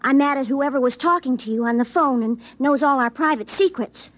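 An actress playing a young small-town sweetheart in a classic radio drama, prim, indignant and easily wounded. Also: female voice, high voice, fairly quick. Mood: prim, sulky.

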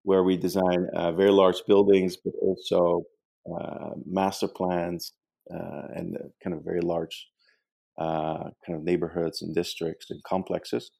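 A Dutch-accented man from the Netherlands, an architect answering interview questions in a halting way with many fillers and repeated words. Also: low voice, completely flat delivery, gravelly, mellow, no room sound. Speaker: guest author, architect.

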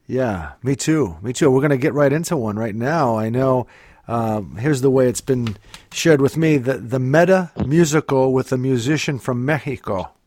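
A male interviewer asking questions in a casual chat, halting and fumbling as he phrases them. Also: medium pitch, darkish tone.

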